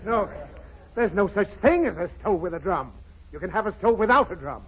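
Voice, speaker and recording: A man's voice: sits high, lively intonation, moderate pace, slightly rough. An American man playing an exasperated, blustering father who scolds and protests in an old radio comedy. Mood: flustered, defensive. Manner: scolding, protesting, easily flustered. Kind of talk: 1930s radio comedy acting. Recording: muffled, hissy old 1930s radio recording.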